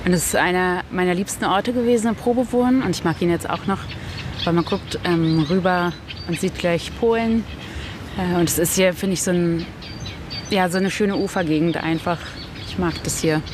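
A woman's voice: lowish voice, moderate pace. Woman, 30s, from Berlin, chatty and easygoing, recorded outdoors with faint noise behind. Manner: chatty, relaxed, many fillers and tag questions. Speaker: interviewee. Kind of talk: casual chat. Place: outdoors in a small town.

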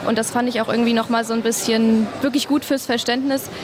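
Teen girl, student, high flat voice, hesitant, noisy outdoor background. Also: fast. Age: teens. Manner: hesitant, repeats "wirklich". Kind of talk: interview remarks.

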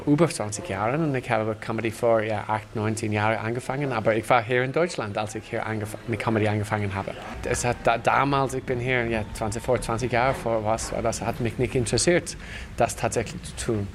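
Halting Irish man, faint noise behind. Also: medium pitch, steady tone. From Ireland. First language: English. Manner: halting, searching for words in a second language. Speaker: comedian. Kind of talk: casual interview talk. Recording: light background noise on location.

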